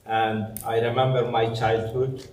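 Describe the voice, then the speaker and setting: A middle-aged man from southeastern Turkey whose first language is Syriac Aramaic, recounting his life as a guest speaker in a plain, unhurried way. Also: medium pitch, level tone, darkish tone. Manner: unhurried, plain-spoken storytelling with frequent fillers. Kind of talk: personal testimony in conversation. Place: church hall. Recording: echoey hall, far from mic.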